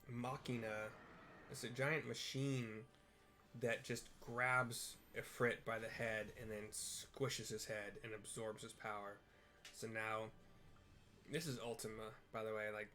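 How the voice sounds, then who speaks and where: A man's voice: medium pitch, sharp tone. A man narrating video game cutscenes in an informal, improvised, colloquial way, as audio description.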